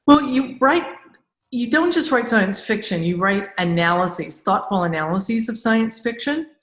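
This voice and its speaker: A woman's voice: fairly high pitch, lively intonation, a little slow, fairly bright tone. A woman hosting a casual interview, talking in a chatty, rambling, conversational way. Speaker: podcast host, interviewer. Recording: echoey room sound.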